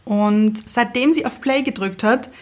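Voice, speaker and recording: A woman's voice: a little high, unhurried, a little mellow. A woman co-hosting a podcast, chatting casually and telling personal anecdotes. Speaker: podcast co-host.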